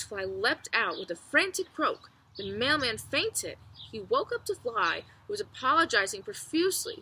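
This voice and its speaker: A woman reading a children's book aloud as narrator, expressively, with clear storytelling phrasing and emphasis. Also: mid-range voice, sharp tone.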